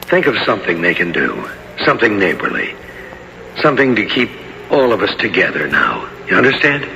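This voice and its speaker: Kindly, halting, gravelly father. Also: lowish voice, very animated, exaggerated voice. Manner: halting, gentle, fumbling for words. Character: kindly, halting father addressing his young son. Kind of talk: 1970s radio drama acting. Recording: dull, noisy old radio recording.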